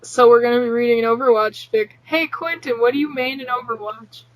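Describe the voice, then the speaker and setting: A woman's voice: on the high side, fairly quick, poor audio. A woman hosting a podcast, chatting informally and reading fan fiction aloud in an amateur, sweary, offhand way. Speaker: podcast host.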